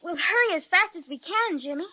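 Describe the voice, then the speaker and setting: A woman playing a polite, caring little girl who scolds gently, acted in the earnest style of a children's radio serial. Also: extremely high pitch, expressive, fairly quick, exaggerated voice. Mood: friendly. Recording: dull old radio recording.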